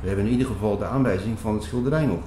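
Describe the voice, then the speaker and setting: A man's voice: on the low side, rapid-fire, warm tone, a little hiss or hum, close to an everyday voice. Man playing a formal prior, echoey room. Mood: businesslike, dismissive. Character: courteous, businesslike male prior on a quest. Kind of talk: Dutch audio drama acting.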